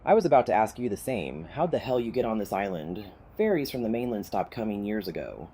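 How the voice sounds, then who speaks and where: A woman's voice: rumbling low, sing-song, fairly quick, mellow, breathy edge, full character voice. Woman acting a menacing survivor with swooping delivery. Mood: suspicious, challenging. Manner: confrontational, sardonic. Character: hostile, desperate woman survivor holding a knife, threatening and sardonic. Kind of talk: full-cast audio drama acting.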